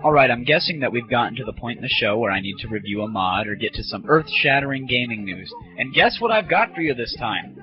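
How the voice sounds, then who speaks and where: A man's voice: mid-range voice, sing-song, fast, hardly any pauses, over music. Sarcastic man in muffled lo-fi audio. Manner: sarcastic, foul-mouthed. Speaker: show host. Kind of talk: irreverent comedy show and prank call. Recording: dull lo-fi old internet radio audio.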